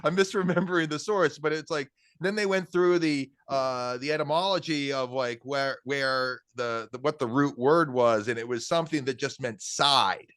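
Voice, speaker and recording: A man's voice: mid-range voice, normal speed, hardly any pauses, a bit bright, clear, resonant tone, no echo, clean studio sound. A male podcast host who talks in a rambling way, full of fillers. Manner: rambling, filler-heavy musing. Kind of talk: conversational interview.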